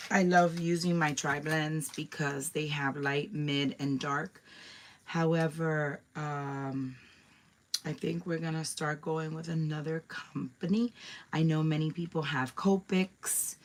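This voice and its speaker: Slow, low-voiced raspy woman crafter. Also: steady tone, slight background noise. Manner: chatty, with many ums and asides. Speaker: craft demonstrator. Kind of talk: casual crafting tutorial.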